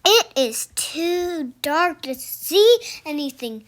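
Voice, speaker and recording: A young child reading a children's storybook aloud haltingly, sounding out words and pausing mid-sentence. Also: high-pitched, expressive. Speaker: young reader.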